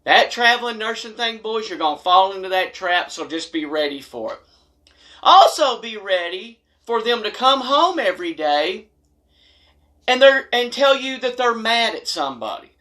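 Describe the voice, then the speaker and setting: Bright-voiced, emphatic American man. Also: high voice, normal speed. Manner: folksy, blunt, emphatic, animated. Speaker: video host telling stories and advice. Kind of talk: humorous storytime monologue to camera.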